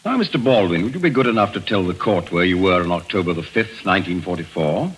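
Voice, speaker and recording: An actor playing a formal courtroom questioner in a 1940s radio play, clipped and probing. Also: male voice, low-pitched, animated, fast, a little hiss or hum, poor audio.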